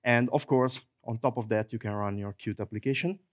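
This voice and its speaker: A middle-aged man with an Italian accent giving a lively technical talk in a large conference hall, speaking into a handheld microphone and filling pauses with frequent "uh"s. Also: medium pitch, clean studio sound. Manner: lively, fillers like "uh", jokes and laughs.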